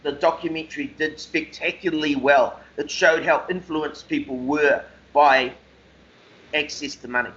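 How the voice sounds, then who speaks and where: A man co-hosting a conversational radio discussion, talking discursively with measured emphasis. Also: fairly high pitch, fairly even intonation, a bit bright. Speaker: radio show co-host, interviewer. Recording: slightly dull lo-fi call audio.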